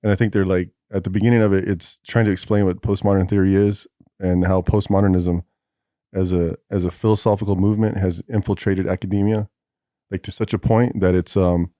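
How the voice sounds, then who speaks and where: A young adult man hosting his own podcast from home, rambling through an intro with lots of fillers and restarts and poking fun at himself. His voice sits fairly low and sounds dark and mellow. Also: flat delivery, brisk. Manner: rambling, self-deprecating, many fillers and restarts. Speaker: podcast host. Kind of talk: casual podcast talk. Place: home, planes passing overhead.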